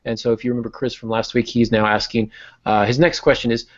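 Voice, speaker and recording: A man's voice: medium pitch, little pitch movement, fast, lo-fi sound. A man hosting a casual podcast as an author, explaining things in an informal, self-deprecating way.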